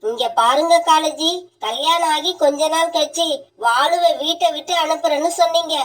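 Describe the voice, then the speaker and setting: A woman voicing a greedy, sharp-tongued young wife bird in a cartoon, with a scolding, bossy manner. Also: high voice, fairly even intonation, moderate pace, lightly acted.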